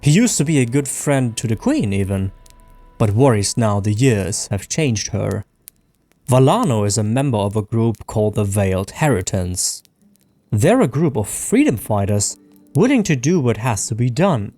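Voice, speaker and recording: An earnest young rebel recruit, acted by a narrator tells their story low and solemnly, with resolve. It is a storybook reading with music behind. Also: very animated, moderate pace, warm tone, no room sound. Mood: earnest, resolute.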